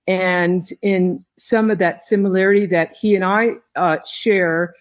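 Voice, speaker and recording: A woman giving a reflective interview as a guest, speaking in a halting, thoughtful way with phrases broken by pauses. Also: lowish voice, fairly even intonation, dull audio. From not originally from Hawaii, long resident there. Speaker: podcast guest, tea grower and company head.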